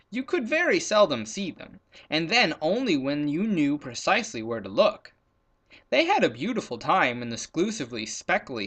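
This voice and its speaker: A man's voice: on the high side, fairly bright tone. A man reading a children's story aloud expressively, with playful emphasis on rhythmic lists of words.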